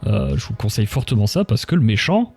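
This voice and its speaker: A man's voice: medium pitch, big pitch swings, fast talker, creaky, darkish tone, slightly rough. A man hosting a casual livestream interview, speaking in a hesitant, searching way and often repeating phrases. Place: live online stream.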